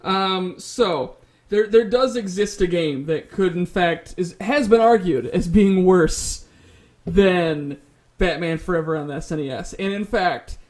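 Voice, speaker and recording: High-voiced man moderating a casual panel. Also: a little slow, a little mellow.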